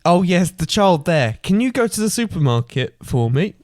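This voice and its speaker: A clueless, bumbling panto miser, performed by a man, in a slightly high male voice. He is hesitant and flippant, ad-libbing along, recorded dry and close. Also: big pitch swings, normal speed, darkish tone, dry recording. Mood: bumbling, eager. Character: bumbling, clueless, cheerful comic Scrooge. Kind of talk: ad-libbed comic pantomime.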